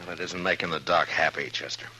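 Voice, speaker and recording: An actor playing a calm, laconic frontier marshal in a 1950s radio western, measured and authoritative, with an American accent. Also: male voice, rumbling low. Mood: sober. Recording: noisy old radio recording.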